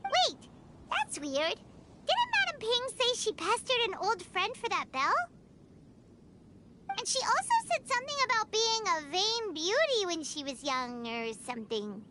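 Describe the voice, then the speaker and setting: A woman voicing a tiny, excitable, curious fairy girl with naive exclamations in a cartoonish video game style. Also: extremely high pitch, a little slow, very noisy, heavily acted. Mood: puzzled. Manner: exclamatory, naive, talks of self in third person.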